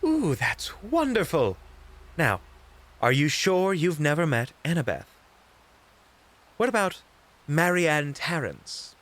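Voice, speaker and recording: A man playing a witty, unflappable investigator in an audio roleplay, with a coy, theatrical, mockingly polite and drawn-out delivery. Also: a little high, very animated, fairly bright tone, voice pushed up, exaggerated voice. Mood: smug, courteous. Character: flamboyant, unflappable, witty investigator in their prime. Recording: background music.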